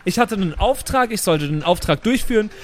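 A man's voice: high voice, lively intonation, brisk. A man playing a self-important, petulant superhero who protests indignantly in an improvised audio role-play. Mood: defensive, petulant.

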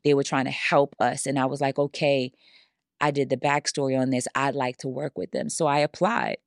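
A woman's voice: very deep voice, flat delivery, normal speed, gravelly, dry recording, studio quality. A woman hosting a casual conversation, effusive and encouraging in how she talks. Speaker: podcast host.

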